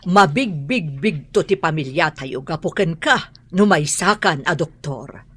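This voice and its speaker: A woman's voice: low voice, lively intonation, a little slow, slightly rough, full character voice. An actress playing a proud, insistent, middle-aged mother in an Ilocano radio drama, speaking in a domineering and emphatic way.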